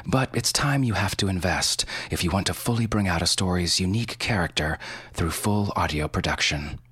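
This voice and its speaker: Very low-voiced male podcast host over music. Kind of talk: scripted podcast narration.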